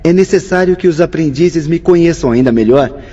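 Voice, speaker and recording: Man acting as wise kindly male spirit mentor, radio drama, husky, measured and formal, muffled recording. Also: a little high, warm tone, lightly acted. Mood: courteous, welcoming. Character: Aníbal, youthful male spirit mentor, gentle, wise, fatherly. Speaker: teacher. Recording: hall reverb, dull lo-fi sound.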